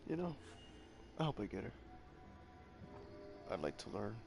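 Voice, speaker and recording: A man's voice: on the low side, big pitch swings, unhurried, creaky, over music. A man giving casual reaction commentary as a game streamer.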